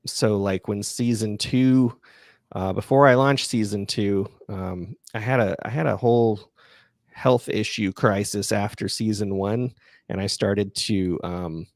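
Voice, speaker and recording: A man hosting a podcast livestream, chatting casually and answering listener questions in a halting, self-effacing way. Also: lowish voice, steady tone, no room sound, studio quality. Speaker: podcast creator and livestream host.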